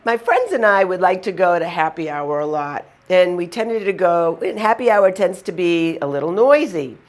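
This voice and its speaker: A woman with a Boston accent tells her stories with a chatty, amused energy. Her voice sits a little low for a woman, and she talks straight to the camera. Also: sing-song, moderate pace. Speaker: vlogger. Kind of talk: casual storytelling to camera.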